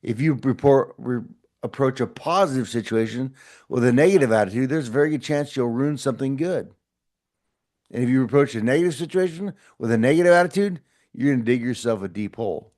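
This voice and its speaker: Middle-aged man, motivational podcast host, earnest, encouraging, repetitive, mid-pitched voice. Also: fairly quick, a little mellow. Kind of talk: casual motivational talk to camera.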